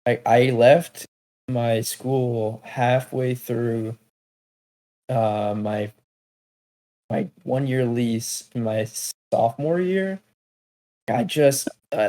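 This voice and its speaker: A man's voice: mid-range voice, takes it slowly, warm tone. Laid-back young man telling stories. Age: young adult. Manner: laid-back, slangy storytelling. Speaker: podcast co-host. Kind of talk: casual chat.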